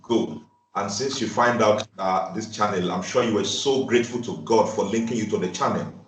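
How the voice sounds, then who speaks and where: Nigerian man, low voice, echoey and distant. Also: normal speed, a little hiss or hum. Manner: effusive, repetitive affirmations. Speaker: host, dream interpreter. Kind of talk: ministry channel talk.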